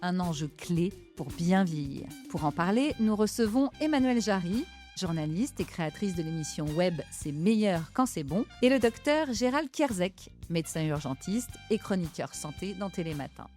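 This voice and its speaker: A woman reading a scripted introduction in a smooth, measured way. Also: fairly low pitch, expressive, a little mellow, soft background music. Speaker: narrator.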